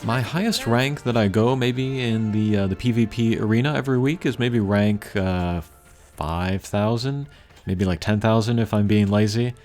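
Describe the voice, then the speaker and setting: A man giving casual, unscripted gameplay commentary as a channel host, thinking aloud in a measured way. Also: on the low side, a little mellow. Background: game music.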